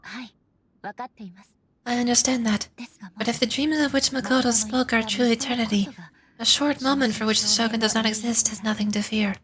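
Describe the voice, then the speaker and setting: Woman acting solemn, regal immortal warrior ruler, higher voice. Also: steady tone, moderate pace, pauses often, crowd noise, lightly acted. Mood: solemn, resolute. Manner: formal, grave, declamatory. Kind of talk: video game dramatic voice acting.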